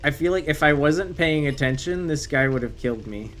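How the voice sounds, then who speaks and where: A man's voice: fairly high pitch, lively intonation, brisk, a bit bright, soft background music. A man whose first language is French, streaming a game live and chatting casually with viewers, breaking into swearing and sudden exclamations. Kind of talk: casual live gameplay commentary.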